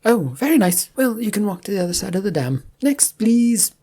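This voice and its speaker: A woman voicing a pompous, bossy troll leader in a fantasy story, with loud, commanding, drawn-out questioning. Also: medium pitch, sing-song, voice pushed up. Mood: officious.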